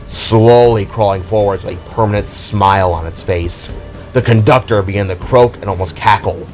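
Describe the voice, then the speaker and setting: A man's voice: on the low side, moderate pace, a little mellow, soft background music. A man giving dramatic, theatrical narration of a scripted fantasy story.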